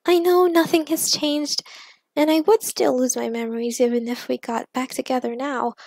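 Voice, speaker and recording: Woman voicing a high-pitched, heartbroken young superheroine. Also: steady tone, vocal fry, a little mellow, no room sound. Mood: regretful. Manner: halting, emotional, pleading. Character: tearful young superheroine confessing to her lost love.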